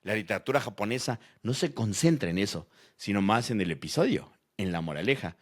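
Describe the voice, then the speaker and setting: A man plays a writer with a somewhat low male voice. He delivers scripted lines in a measured, opinionated way for an educational audio piece. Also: animated.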